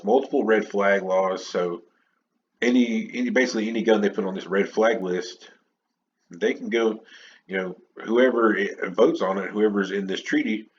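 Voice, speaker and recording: An American man hosting a podcast, chatting casually and unscripted in a rambling, conversational way. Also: fairly low pitch. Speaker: podcast host. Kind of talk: unscripted opinion monologue, casual chat. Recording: dull lo-fi audio, clear background noise.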